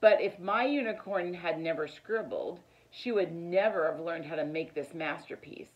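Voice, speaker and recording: A middle-aged woman reads a children's picture book aloud in an expressive, deliberate storytelling manner. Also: lowish voice, lo-fi sound. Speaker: teacher reading aloud. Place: living room, indoors.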